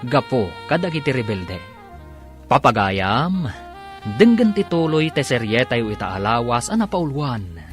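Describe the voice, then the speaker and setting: A male radio announcer delivers scripted drama narration and credits. His medium-pitched voice is lively and sweeping, set over a music bed. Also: measured pace.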